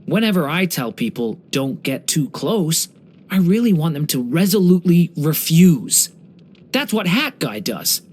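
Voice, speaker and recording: A young dragon boy in human form from a video game. He is gentle, earnest and polite, with a storyteller's manner. His pitch moves about in a lively, expressive way. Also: unhurried, breathy edge, exaggerated voice. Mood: earnest, wistful. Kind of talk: English video game character voice lines.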